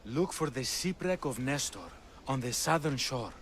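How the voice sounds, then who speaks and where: A man's voice: mid-range voice, expressive, moderate pace, slight background noise, lightly acted. An actor playing a proud, earnest male athletic trainer of ancient Greece in a video game. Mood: proud, advising. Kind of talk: video game cutscene acting.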